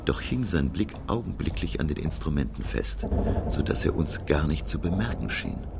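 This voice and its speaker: Radio drama narrator: observant professor, measured storytelling, rumbling bass with creak, loud effects underneath. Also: male voice, animated, heavy background noise, exaggerated voice. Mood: earnest, absorbed. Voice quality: gravelly. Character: male professor recounting his sea voyage, earnest, observant. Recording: loud sound effects behind the voice.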